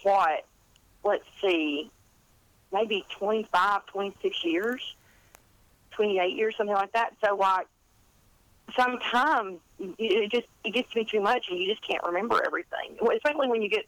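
A woman in her fifties telling stories in a chatty, animated, rambling and self-deprecating way, as an interview guest. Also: medium pitch, moderate pace, dry recording, low-quality recording. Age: 50s. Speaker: guest author and college English teacher.

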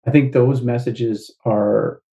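A man speaks as an interview guest in a measured, thoughtful way, with fillers along the way. His voice is gravelly and his tone is warm and dark. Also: medium pitch, fairly even intonation, dull audio. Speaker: guest author. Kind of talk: casual interview talk.

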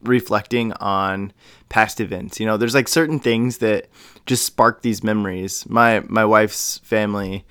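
A man's voice: fairly low pitch, steady tone, a little slow, a bit bright, smooth, dry recording, clean studio sound. A man hosting a podcast, asking rambling questions with many fillers. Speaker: podcast host. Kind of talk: casual interview chat.

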